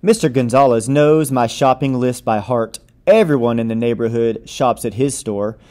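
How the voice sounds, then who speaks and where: A man with a mid-pitched voice reads a picture book aloud. He is a playful storyteller who acts out the story with plenty of expression. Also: normal speed, darkish tone.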